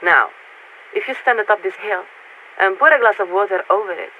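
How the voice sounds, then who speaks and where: A woman playing a polite, formal military radio signaler in a video game, speaking in a measured, patient, officious way through a radio filter. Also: medium pitch. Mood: patient explaining. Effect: radio transmission filter. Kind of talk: scripted game voice acting. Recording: tinny narrow-band radio with static.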